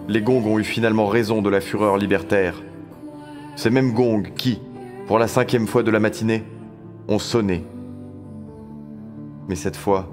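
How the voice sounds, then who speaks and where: A man performs a grave storyteller narrating an audio drama. His voice is fairly low and the delivery is scripted and dramatic. Music plays clearly behind him. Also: natural voice. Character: grave male storyteller of a dystopian town.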